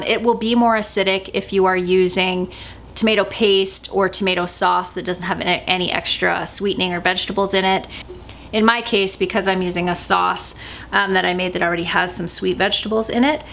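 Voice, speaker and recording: A woman chatting casually as she cooks in her home kitchen for a vlog, relaxed, with a sore throat from talking all day. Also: mid-range voice, creaky, slight background noise. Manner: chatty, relaxed, with filler ums. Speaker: vlogger cooking at home. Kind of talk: casual cooking vlog chat.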